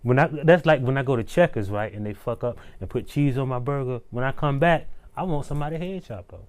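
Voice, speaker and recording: A man's voice: mid-range voice, fast talker, darkish tone, clean tone. A young man in casual co-host banter with a mid-range voice. He talks emphatically and hammers his points with repeated phrases. His delivery stays easygoing and conversational. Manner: emphatic, repeats "I'm telling you". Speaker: co-host of a TV review show. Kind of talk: casual chat.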